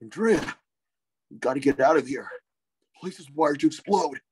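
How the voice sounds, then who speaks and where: An actor voicing a grim, brooding male vigilante superhero in a low gravelly growl, reading an animated film script. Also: normal speed, slight background noise, close to an everyday voice. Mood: urgent.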